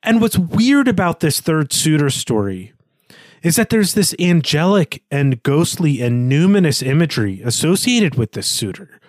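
A man's voice: fairly high pitch, very animated, normal speed, dry recording. Man co-hosting a dry, close-recorded book discussion. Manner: analytical, articulate, poses questions. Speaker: podcast co-host. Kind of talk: literary discussion, conversational.

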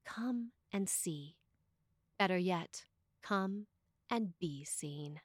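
A woman priest reads a scripted sermon in a deliberate way, with emphatic pauses. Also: on the low side, lively intonation, dry recording, clean studio sound.

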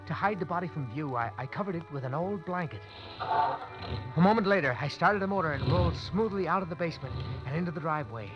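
An actor playing a nervous, desperate young college student, urgent and tense in a vintage radio drama. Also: male voice, on the high side, dull audio, lightly acted. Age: young adult. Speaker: radio drama lead and narrator. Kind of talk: 1940s-50s radio acting with first-person narration.

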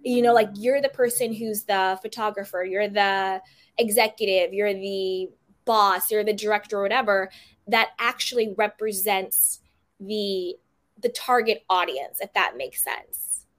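A woman's voice: mid-range voice, measured pace. Chatty upbeat woman hosting a podcast. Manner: chatty, upbeat, many fillers like "like" and "you know". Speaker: podcast host. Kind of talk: casual interview chat.

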